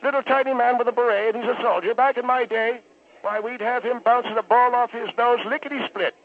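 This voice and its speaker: A man's voice: very high voice, steady tone, fairly quick, pitched above the performer's own voice. A man voicing a cantankerous, oblivious old Irish priest who rambles indignantly on a comic radio call-in. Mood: indignant, scornful. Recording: thin, phone-like radio call.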